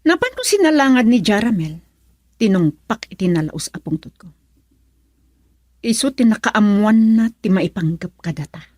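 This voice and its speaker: A woman's voice: mid-range voice, big pitch swings, moderate pace, a little mellow, no room sound, studio quality. An actress in a radio drama playing a spiteful, defiant, scheming young woman in an emotional manner. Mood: taunting.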